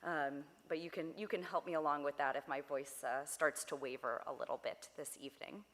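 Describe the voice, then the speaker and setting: Lower-voiced young woman in reverberant sanctuary. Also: steady tone, brisk, fairly bright tone. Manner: chatty and friendly, many fillers. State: slightly hoarse voice. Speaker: service leader. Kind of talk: leading a worship service. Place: synagogue sanctuary. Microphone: body mic.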